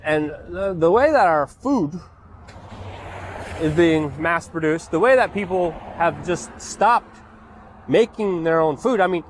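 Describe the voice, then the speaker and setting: A man's voice: on the high side, expressive, slow, slight background noise. A man born and raised in Poland, delivering an indignant, sarcastic ranting monologue as a political commentator.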